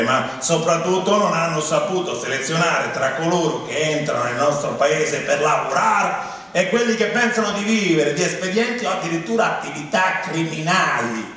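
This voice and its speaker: A man from Rome reading a document aloud on stage in a formal, measured recitation. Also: on the high side, animated, sharp tone. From Rome, Italy. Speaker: monologue performer. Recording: very echoey, distant, faint noise.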